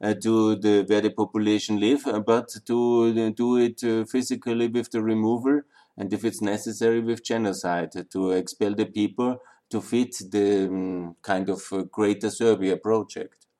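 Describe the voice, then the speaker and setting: Halting Austrian-accented man lecturing. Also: fairly low pitch, level tone, warm tone. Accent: Austrian German. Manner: halting, many fillers and restarts. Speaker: speaker on Balkan history. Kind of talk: lecture to an audience.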